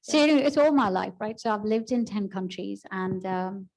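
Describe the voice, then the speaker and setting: A woman from Switzerland speaking on a panel, eager and quick to agree. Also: medium pitch, darkish tone, rough recording. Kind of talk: panel discussion.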